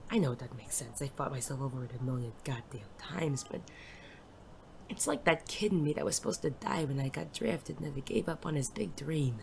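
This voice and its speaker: A performer playing a world-weary, self-doubting male private eye and war veteran in an audio drama, gruff, hesitant and prone to swearing. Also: medium pitch, brisk, natural voice. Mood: troubled, despairing. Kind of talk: intimate audio drama dialogue. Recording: clearly noisy background ambience.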